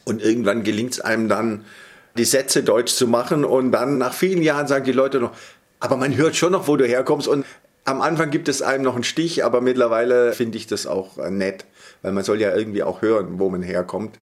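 A man's voice: mid-range voice, a little fast. Wry man with a Swabian touch. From Stuttgart, Germany. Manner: relaxed, wry. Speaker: sports journalist interviewee. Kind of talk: interview answer.

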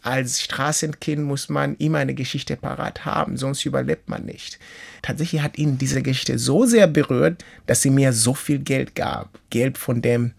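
Earnest gravelly-voiced middle-aged man. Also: medium pitch, steady tone. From Kenya. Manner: earnest, measured, reflective. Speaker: interview guest, author and teacher. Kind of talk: personal life story in an interview.

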